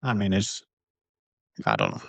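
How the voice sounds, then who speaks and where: A man hosting a casual basketball podcast, talking in a relaxed, conversational way and leading the discussion. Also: low-pitched, a little slow, creaky, no echo, highs cut off. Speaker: podcast host. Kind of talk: casual sports podcast talk. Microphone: home recording setup.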